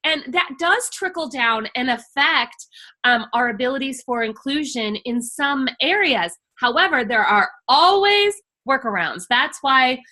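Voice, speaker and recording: A middle-aged woman speaking with a bright, forceful edge and a slightly high pitch. She talks passionately and emphatically, as if advising parents in a relaxed workshop chat. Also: measured pace. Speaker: IEP coach and workshop host. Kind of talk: casual webinar conversation.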